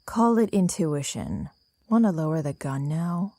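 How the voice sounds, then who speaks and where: A low, dry-recorded voice of a young woman survivor played by an actress in an audio drama. She is calm, guarded and commanding, speaking deliberately and with cool authority. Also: dry recording. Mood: cool, firm.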